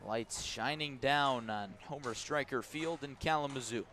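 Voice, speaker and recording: Male baseball radio announcer, mid-range voice, measured play-by-play with dry asides, outdoor ballpark setting. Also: mid-range voice, pushed and bright. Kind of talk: live radio sports commentary.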